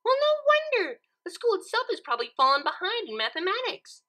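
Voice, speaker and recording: A woman's voice: very high voice, wildly swooping pitch, brisk, bright, forceful tone, pitched above the performer's own voice, full character voice. A woman voicing a hyperactive, bubbly young female cartoon pony, chirpy and childlike in the way she gushes. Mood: mock sympathy. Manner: chirpy, gushing, childlike, exclaiming. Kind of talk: cartoon fan fiction character reading.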